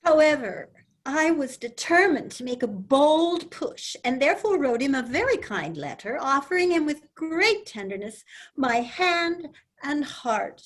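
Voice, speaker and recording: A woman reading a bold, high lovesick girl. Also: slightly rough, full character voice. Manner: earnest, confiding storytelling. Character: bold, lovesick young woman pursuing a man. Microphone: video call.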